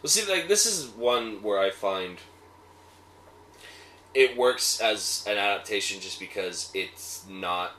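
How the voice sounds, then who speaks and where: A man co-hosting a casual chat, informal and a bit sweary, quick to correct details. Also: lowish voice, lively intonation, sharp tone. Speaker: co-host. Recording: roomy echo, background noise.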